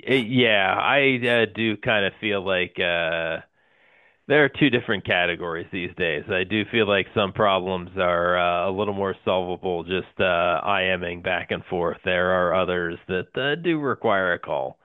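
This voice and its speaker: A man in his forties co-hosting a podcast in casual chat, hesitant with many fillers and quick to laugh. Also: sits low, clear, resonant tone, dry recording. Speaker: podcast co-host. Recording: tinny phone-like line.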